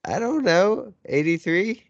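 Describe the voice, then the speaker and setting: A man's voice: sits high, very animated, slow, gravelly. A man co-hosting a podcast in casual banter, wisecracking and informal, recorded with a roomy, slightly dull sound. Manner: wisecracking, informal, salty language. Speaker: podcast co-host.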